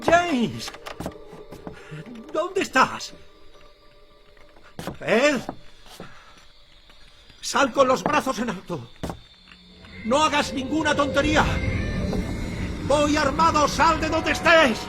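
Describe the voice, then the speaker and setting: A man's voice: high-pitched, very animated, unhurried, pauses often, music in the background. An actor playing a panicked, foul-mouthed male sheriff in a radio drama, urgently barking commands. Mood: alarmed, threatening.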